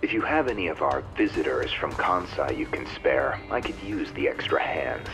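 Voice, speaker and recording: An actor playing a gruff, scheming male henchman reporting to his boss in a video game. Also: a bass voice, sing-song, normal speed, music in the background, full character voice. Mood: satisfied, scheming. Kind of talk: English video game voice acting.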